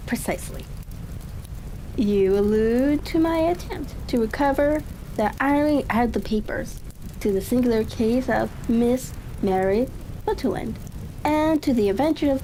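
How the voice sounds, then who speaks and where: Female ASMR story reader, warm and relaxed tone, unhurried halting delivery, light crackling ambience underneath. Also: a little high. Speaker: audiobook reader. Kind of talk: calm ASMR story reading. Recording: steady rain-like crackling ambience behind voice. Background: fireplace crackle and ambient noise.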